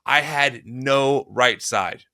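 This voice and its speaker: A man hosting a casual podcast, talking in a chatty storytelling way with a slightly hoarse voice. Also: mid-range voice, slow pace, pushed and bright, dry recording, studio quality. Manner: chatty storyteller, many restarts and "dude". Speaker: podcast host.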